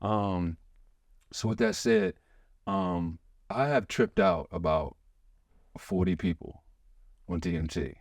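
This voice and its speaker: A man hosting a podcast in an excitable, rambling way, full of restarts and fillers. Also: low voice, takes it slowly, long pauses, no echo, clean studio sound. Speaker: podcast host. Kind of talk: casual chat.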